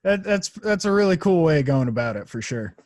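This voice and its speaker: A man's voice: fairly high pitch, sing-song, fairly quick, a little mellow, a little hiss or hum. A man hosting a podcast, chatting casually and putting questions to his guests. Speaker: podcast host, interviewer. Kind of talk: casual interview chat.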